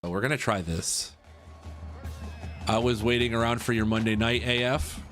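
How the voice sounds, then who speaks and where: A man's voice: medium pitch, moderate pace, a bit bright. Man in his 30s streaming a football game, talks to chat a lot, says stuff twice, music going behind him. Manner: chatty, reacts to chat, repeats himself. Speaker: livestream host. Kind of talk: casual live-stream chat over gameplay.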